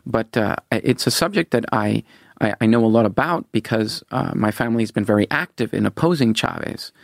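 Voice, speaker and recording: Gravelly-voiced man repeating his words. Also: fairly low pitch, animated, fairly quick, no echo, studio quality. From Venezuela. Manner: earnest, repeats words when starting sentences. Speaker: interview guest. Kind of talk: political interview.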